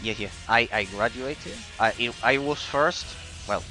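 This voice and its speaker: A man's voice: medium pitch, measured pace. A man from Argentina with an Argentine Spanish accent, a native Spanish speaker, chatting casually and very politely with live-stream viewers and often repeating words for emphasis. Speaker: game streamer. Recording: faint game music behind voice. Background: faint music.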